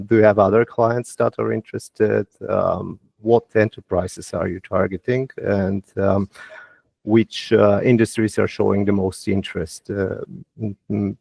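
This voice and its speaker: A man asking semi-formal questions on an investor earnings call in a hesitant, halting way. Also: fairly low pitch, creaky, a little mellow, slightly rough, no room sound.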